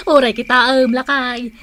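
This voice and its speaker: An actress playing a cheerful, boastful housewife in a radio comedy drama, gleefully showing off. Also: female voice, high-pitched, fairly even intonation, slow, a bit bright, slight background noise. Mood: gleeful boasting.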